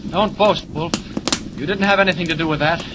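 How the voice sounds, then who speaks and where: A man's voice: fairly high pitch, fairly quick, lightly acted. An actor playing a defiant, earnest young male captive with clipped replies in a 1940s radio serial. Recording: noisy vintage 1940s radio recording.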